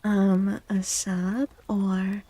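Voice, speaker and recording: A soft-spoken woman streaming ASMR, talking gently in a husky, breathy voice that often drifts into whispers, with playful, quaintly formal wording. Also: mid-range voice, level tone, extremely slow, no echo. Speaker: live streamer and tarot reader.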